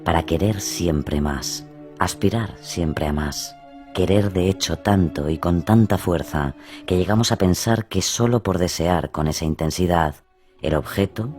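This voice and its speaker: A man narrating a scripted reflective monologue in a measured, solemn manner. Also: low voice, darkish tone.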